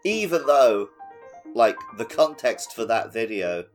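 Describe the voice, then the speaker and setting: Male podcast host, mid-pitched, casual gaming chat over faint music. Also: big pitch swings, takes it slowly. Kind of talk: casual chat about video games.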